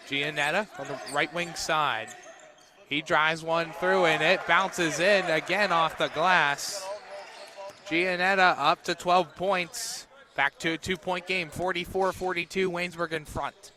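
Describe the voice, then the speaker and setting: A man's voice: on the high side, steady tone, unhurried, a crowd in the background. A man calling a live basketball game on radio as the play-by-play announcer.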